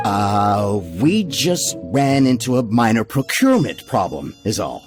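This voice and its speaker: An actor playing an oily, scheming middle-aged merchant in a video game, obsequious and placating. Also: male voice, mid-range voice, very animated, unhurried, soft background music. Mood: nervous, placating. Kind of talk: video game character dialogue.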